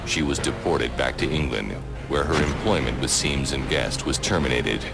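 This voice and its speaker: A man's voice: a bass voice, moderate pace, vocal fry, over loud music. A man formally announcing case outcomes in scripted narration for a police drama. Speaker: closing announcer.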